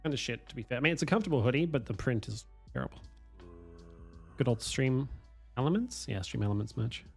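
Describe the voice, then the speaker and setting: A man, streaming a game from home on a headset, hoarse from a cold, swearing and joking with chat. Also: medium pitch, expressive, fast, darkish tone, faint music behind. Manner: swearing, self-mocking, chatting to viewers. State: hoarse, losing his voice from a cold. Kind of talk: casual live-stream chatter while playing.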